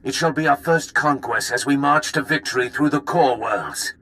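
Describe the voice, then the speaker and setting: An actor playing a menacing, grandiose Sith lord in a video game, speaking in a pompous, theatrical, poetic way. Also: male voice, mid-range voice, normal speed, bright, forceful tone, lightly acted. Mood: imperious. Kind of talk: video game villain acting.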